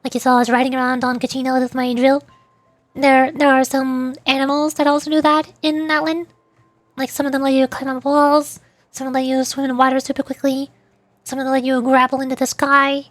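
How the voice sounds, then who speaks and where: A woman's voice: high voice, completely flat delivery, fairly quick, no room sound. A woman livestreamer chatting casually over a game in a rambling, playful way. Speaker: livestreamer, VTuber. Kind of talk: casual chat while gaming, answering live chat.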